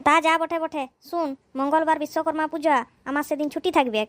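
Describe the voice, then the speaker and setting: A voice actor playing a gruff husband and father, scolding and muttering to himself in a cartoon. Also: sits high, level tone, brisk, a bit bright. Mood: grumbling. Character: grumpy rural husband and father, blunt.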